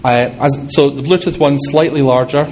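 Fast-talking Scottish man giving a tech talk. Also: mid-range voice, steady tone, darkish tone, a little hiss or hum, rough recording. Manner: fast, halting, with many restarts and fillers. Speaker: conference speaker. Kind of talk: technical conference talk. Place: large hall with an audience.

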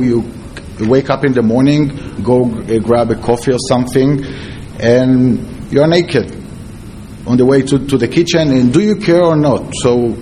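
A man with an Israeli accent giving a conference presentation as a security researcher, in halting, non-fluent English. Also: medium pitch, warm tone, a little hiss or hum. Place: conference talk before an audience.

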